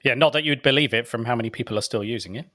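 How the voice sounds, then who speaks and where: A man's voice: mid-range voice, racing, pushed and bright. A man hosting a podcast interview, friendly with joking asides. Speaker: podcast host.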